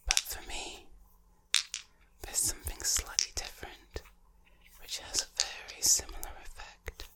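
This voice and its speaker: A woman delivers ASMR in a breathy whisper with almost no voice in it. She speaks slowly and deliberately, leaving pauses between short phrases. The whisper is bright and crisp at the edges as she addresses the listener intimately. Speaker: ASMR host.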